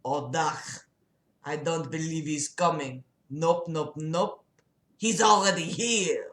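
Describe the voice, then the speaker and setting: A woman voicing a bitter, smugly calm male asylum patient in a story, preaching with slow emphasis. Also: low-pitched. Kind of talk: improvised voice in a story reading. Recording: roomy, echoing sound.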